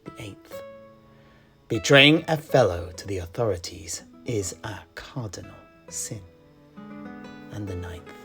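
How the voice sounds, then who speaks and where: A man playing a grave, secretive tavern keeper who tells fantasy tales in a measured, deliberate way, his phrases often trailing into a hush. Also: fairly low pitch. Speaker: storyteller, host of in-universe chat. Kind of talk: in-character fantasy storytelling. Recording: music behind the voice.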